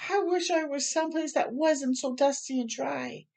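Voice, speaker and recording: A woman's voice: sits high, normal speed, gravelly, a little mellow, voice pushed up. A woman voicing a plaintive, curious little girl from a storybook who keeps wishing to be elsewhere. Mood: distressed. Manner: plaintive, wishful.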